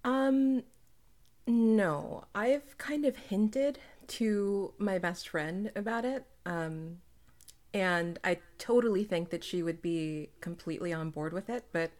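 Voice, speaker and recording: A woman's voice: mid-range voice, slow pace, a little mellow. A woman chatting casually as a panelist in a group question-and-answer conversation. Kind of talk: casual chat.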